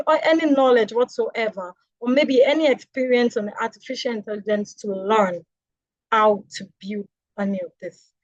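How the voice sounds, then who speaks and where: A woman's voice: on the high side, no room sound. A woman hosting an online kids' webinar, cheerfully introducing the tutor and talking straight to the children. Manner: enthusiastic, addresses children directly. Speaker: webinar host. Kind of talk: upbeat introduction to a kids' class. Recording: dull, dry video-call audio.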